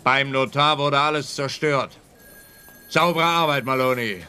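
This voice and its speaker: A man playing a harried, dismissive police officer in a radio detective comedy, brisk and emphatic. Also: medium pitch, pushed and bright, faint music behind. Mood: harried, defensive. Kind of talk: scripted radio play dialogue.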